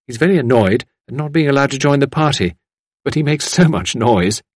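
A man voicing a brisk, kindly, commanding mistress of the house, reassuring in manner, as an audiobook character. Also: mid-range voice, animated, no echo, studio quality, pitched above the performer's own voice, lightly acted.

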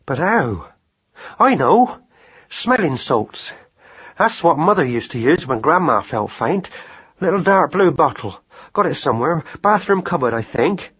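A man's voice: on the high side, sing-song, unhurried, fairly bright tone, slightly rough, muffled recording, pitched above the performer's own voice, full character voice. A man voicing a flustered, kindly working rat-catcher in a storybook, muttering in short clipped fragments. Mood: hurried, thinking.

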